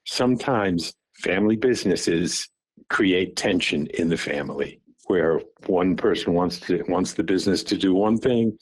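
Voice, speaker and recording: An older man in his seventies talks on a phone call, his voice sitting low. He recalls things in a halting way, repeating words and restarting his sentences as he searches his memory. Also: breathy edge. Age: 70s. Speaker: father recounting family business.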